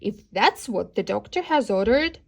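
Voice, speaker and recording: A woman's voice: medium pitch, lively intonation, moderate pace, gravelly, a little mellow. A woman voicing a greedy, sly young male wolf, hungry and cheeky, in a children's storybook. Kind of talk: children's storybook read-aloud character.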